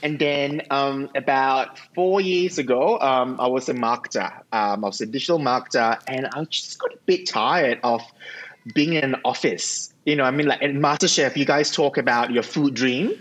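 A young man from Singapore telling his story as a guest, relaxed and halting, with frequent ums and self-deprecating humour. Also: mid-range voice, poor audio. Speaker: cheesemonger guest. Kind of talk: casual interview chat.

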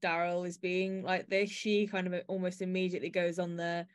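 A woman's voice: fairly low pitch, completely flat delivery, normal speed, clear, resonant tone. A woman hosting a podcast, talking through a piece in a conversational discussion style. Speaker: podcast host.

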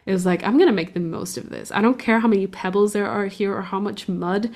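A woman's voice: medium pitch, fast talker, darkish tone. A young woman from Portugal chatting casually, rambling with lots of fillers and restarts. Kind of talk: casual conversation.

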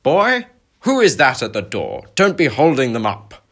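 A man voicing a blustering, bossy middle-aged uncle who barks his words in a booming voice. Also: wildly swooping pitch, unhurried, a bit bright, full character voice. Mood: barking. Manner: booming, barking.

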